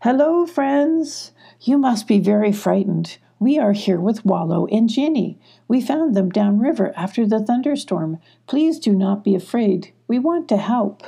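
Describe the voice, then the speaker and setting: Lady doing a caring girl adventurer from a kids story, higher voice, earnest, encouraging. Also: lively intonation, measured pace, creaky, warm tone, husky, heavily acted.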